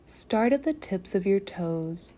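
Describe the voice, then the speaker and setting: A woman guiding a meditation in a soothing, gentle manner, softly breathy at phrase ends, with long pauses between short phrases. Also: mid-range voice, lively intonation. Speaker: yoga nidra meditation guide. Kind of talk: slow guided meditation.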